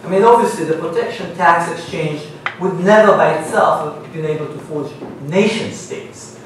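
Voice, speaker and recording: A man's voice: fairly high pitch, background noise. Israeli academic man lecturing in an echoey hall. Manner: measured, lecturing, reads quotations aloud. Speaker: panelist. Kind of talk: academic panel talk. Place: university seminar room, panel table. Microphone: gooseneck table mic. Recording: very echoey room, mic at a distance.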